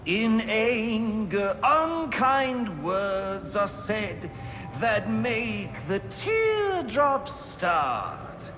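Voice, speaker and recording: A woman playing a theatrical, lovesick villain, singing a slow dramatic song. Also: mid-range voice. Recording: long reverb, music backing.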